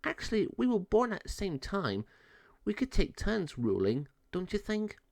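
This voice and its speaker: Young female storybook cat, excited and sweet, high-pitched and gushing male reader performing. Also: normal speed, creaky, full character voice. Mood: friendly. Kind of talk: children's storybook read-aloud.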